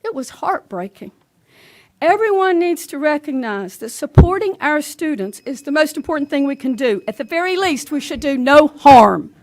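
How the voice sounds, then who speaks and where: A woman giving an indignant but measured public comment at a podium microphone in a meeting room. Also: high-pitched, animated.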